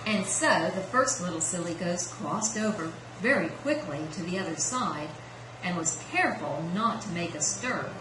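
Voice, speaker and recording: A woman's voice: lowish voice, lively intonation, normal speed. A woman narrating a children's story as a puppeteer. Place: indoor practice room. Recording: echoey room with clear noise.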